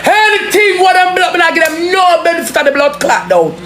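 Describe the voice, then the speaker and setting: A Jamaican woman delivers a forceful, impassioned rant in casual street talk. She curses heavily and hammers phrases by repeating them. The recording is echoey, with clear noise behind her voice. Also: very high voice, expressive, a little fast. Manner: forceful, heavy cursing, repeats phrases for emphasis. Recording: roomy echo, clearly noisy background.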